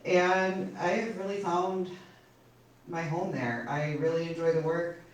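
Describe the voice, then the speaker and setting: A woman's voice: lowish voice, steady tone, a little slow. A woman in her forties introducing herself as a city council candidate at a forum, friendly and a little self-conscious. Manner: friendly, slightly self-conscious, with small laughs. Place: public forum room. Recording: echoey hall, distant mic, faint noise.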